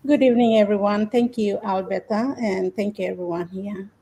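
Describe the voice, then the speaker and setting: A woman from Papua New Guinea introduces herself on a video call. Her voice is soft and mellow, and she speaks with small hesitations, repeating words and thanking everyone. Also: mid-range voice, steady tone, normal speed, slight background noise.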